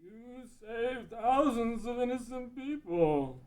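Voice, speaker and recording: A man's voice: very high voice, slow, darkish tone. A man playing a grave old sage and advisor in a table read of a fan script. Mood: grave, reassuring.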